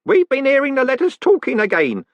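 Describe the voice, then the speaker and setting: An eager old postman for a fantasy audiobook. The voice is high and swoops up and down, folksy and deferential, full of excitable chatter. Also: brisk, dry recording, highs cut off, heavily acted. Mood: excited. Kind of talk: audiobook character voice.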